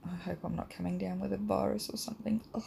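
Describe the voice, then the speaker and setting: Croaky woman teaching drawing, rambling. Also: mid-range voice, fast talker, slight background noise. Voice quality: croaky, gravelly. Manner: relaxed and rambling, many ums. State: croaky, hay fever. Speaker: art teacher. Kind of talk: casual drawing tutorial voice-over.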